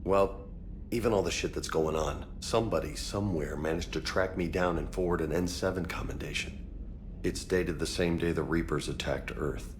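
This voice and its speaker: A man's voice: deep voice, normal speed, background noise, close to an everyday voice. A man playing an informal, self-doubting space marine in a video game, talking in a casual, slangy, blunt way. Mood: troubled, candid. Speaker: soldier. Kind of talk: video game dialogue acting.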